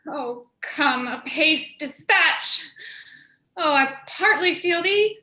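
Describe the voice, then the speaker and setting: A woman's voice: high voice, expressive, slow pace, pushed and bright, heavily acted. A woman playing a devoted, grieving handmaiden in a radio play, with solemn, dramatic verse in a roomy, echoing recording.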